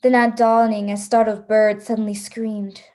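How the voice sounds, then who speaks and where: A woman's voice: medium pitch, little pitch movement, normal speed, a little mellow, slight background noise, natural voice. A woman playing a frightened but resolute hunted heroine, narrating a radio play in a dramatic, suspenseful way.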